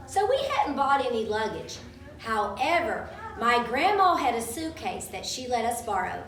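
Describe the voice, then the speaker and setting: A woman reading a childhood story aloud to children at a live event, with dramatic storytelling delivery. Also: a little high. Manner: dramatic, acts out quoted speech. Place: large room at a live event. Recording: roomy, distant microphone, faint background noise.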